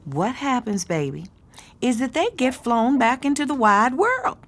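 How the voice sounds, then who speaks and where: An actress playing a protective, bossy middle-aged American aunt who works as a supervisor, in a naturalistic audio drama, coaching and cajoling. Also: female voice, on the high side, sing-song, measured pace. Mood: coaxing, approving.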